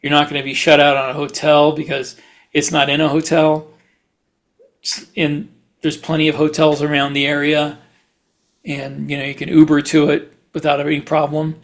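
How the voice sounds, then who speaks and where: A man's voice: a little high, completely flat delivery, moderate pace. A man chatting casually as a podcast host, rambling through anecdotes.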